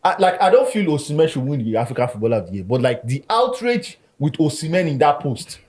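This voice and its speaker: A man's voice: fairly high pitch, big pitch swings, fast, darkish tone. A man on a football podcast panel, speaking thoughtfully, with false starts and repeated words. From Nigeria. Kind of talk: casual football podcast chat.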